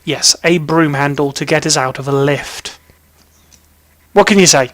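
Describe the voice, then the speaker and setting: A young man with an English accent speaks in a notably high voice for a male. He tells personal stories casually, like a vlog host, often breaking off and rambling playfully. The sound is dry, with very little room in it. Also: a little slow, fairly bright tone, no room sound. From British, raised in army family in Germany, Hong Kong and England. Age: young adult. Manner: rambling, self-interrupting, playful. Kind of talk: casual chatty personal storytelling to camera.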